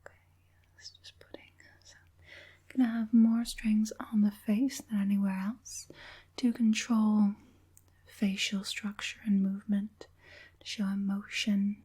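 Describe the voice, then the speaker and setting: A woman voicing a sly, falsely soothing evil witch puppet master in a breathy, coaxing whisper for an ASMR roleplay. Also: medium pitch, little pitch movement, mellow. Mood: softly focused. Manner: coaxing, unhurried, sinisterly sweet.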